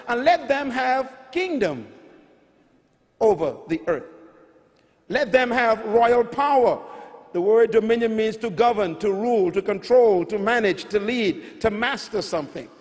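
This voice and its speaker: A man's voice: high voice, lively intonation, unhurried. Middle-aged Bahamian man, emphatic preacher, handheld mic in a big reverberant arena. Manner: emphatic, repetitive teaching with rising calls. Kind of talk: sermon teaching. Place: large arena stage before a big audience. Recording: large hall with long reverb.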